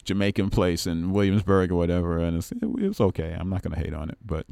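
A man's voice: low voice, animated, fast, gravelly, mellow, no echo, clean studio sound. A man, a musician guest on a radio show, talking in a loose, rambling way and laughing often. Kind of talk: casual radio chat. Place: radio studio. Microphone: studio microphone.

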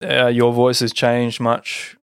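A man's voice: medium pitch, completely flat delivery, slow pace, vocal fry, clean tone, no room sound. A man chatting casually as an interviewer, in an easygoing, slightly tentative and agreeable way. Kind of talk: casual chat.

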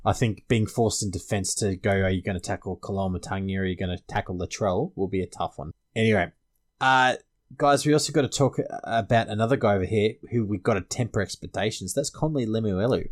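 A man's voice: lowish voice, fairly quick. A man with an Australian accent hosting a casual fantasy sports panel discussion. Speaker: podcast host.

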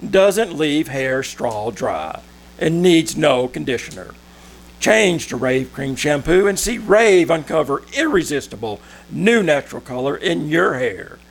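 A man playing a persuasive pitchman in a 1940s radio commercial, with emphatic, measured sales patter. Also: sing-song, vocal fry, full character voice. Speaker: commercial announcer.

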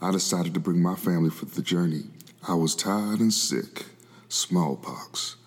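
An actor playing a devout, earnest preacher who escaped slavery, narrating his life in a gospel oratorio as a solemn, measured monologue. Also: low-pitched, husky, heavily acted. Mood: weary. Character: formerly enslaved 18th-century preacher recounting his life, earnest, devout. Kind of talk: scripted first-person dramatic monologue.

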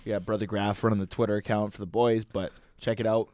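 A young man with a fairly low voice co-hosts casual sports talk on the radio. He sounds close to the microphone, with a dry studio sound. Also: little pitch movement, quick pace, a little mellow, dry recording. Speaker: sports radio show co-host.

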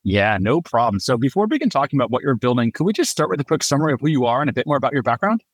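Male podcast host, brisk and animated, close dry mic. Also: mid-range voice, slightly rough, dry recording. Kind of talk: scripted intro and conversational interviewing.

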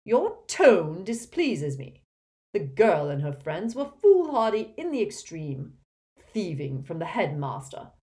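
Woman acting out a loud, haughty old wizard. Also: lowish voice, sing-song, slow pace. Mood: disdainful. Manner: haughty, supercilious, scornful. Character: pompous, indignant old male wizard in a talking portrait. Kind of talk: audiobook character acting.

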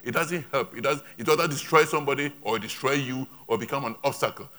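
Emphatic, bright-voiced male preacher. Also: mid-range voice. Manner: emphatic, repetitive, pauses for interpretation. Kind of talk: sermon with an interpreter. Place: church.

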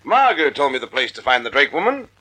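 Smug blustery radio police chief, husky, voice swings all over the place. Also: male voice, medium pitch, sing-song, quick pace, sharp tone, heavily acted. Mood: smug, jovial. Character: self-satisfied, blustery police commissioner. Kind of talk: 1940s radio drama acting.

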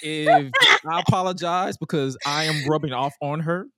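A man's voice: on the high side, normal speed, background noise. A man in his twenties hosting a podcast in a loose casual chat, profane and repeating himself. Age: 20s. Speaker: podcast host.

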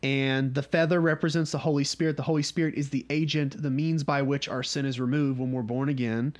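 A man's voice: mid-range voice, flat delivery, a little fast. A man from North Georgia with a Southern US accent, leading a casual Bible study and often checking in with his listeners. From North Georgia, USA. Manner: conversational, often checks in with "okay?".

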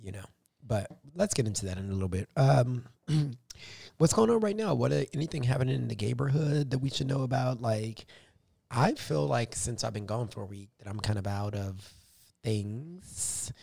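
A man co-hosting a casual podcast chat, his voice hoarse, laughing often as he tells stories animatedly. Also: mid-range voice, lively intonation, normal speed, dark tone, no echo. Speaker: podcast co-host.

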